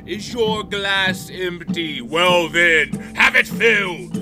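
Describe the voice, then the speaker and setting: An actor playing a proud middle-aged patriarch ruler in an audio drama, with a grandiose, declamatory delivery. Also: male voice, sits high, takes it slowly. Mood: boastful, grand. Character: proud, boastful patriarch ruler, father of ten sons. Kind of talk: theatrical audio drama acting. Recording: loud music behind the voice. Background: guitar music.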